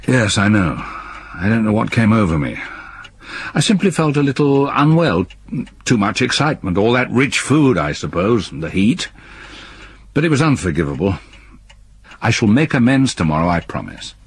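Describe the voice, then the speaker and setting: An actor playing an older, haunted man who quietly apologizes and then broods over a ghost story he must tell. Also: lowish voice, big pitch swings, normal speed, breathy edge, no room sound, exaggerated voice. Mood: apologetic. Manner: subdued, apologetic, weary. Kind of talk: radio drama acting and narration.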